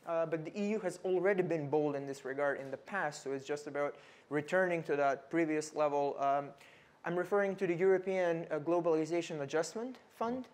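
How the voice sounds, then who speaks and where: A young man from North Macedonia speaking as a researcher on a panel, measured, with frequent fillers and repeated words. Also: fairly high pitch, darkish tone. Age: young adult. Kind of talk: panel talk.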